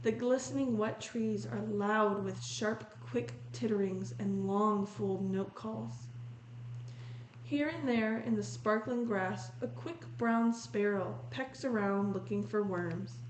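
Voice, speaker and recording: A woman reading a picture book aloud in a measured, descriptive storytelling manner, pausing to comment on the illustrations. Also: medium pitch. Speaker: read-aloud host. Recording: roomy echo with faint noise.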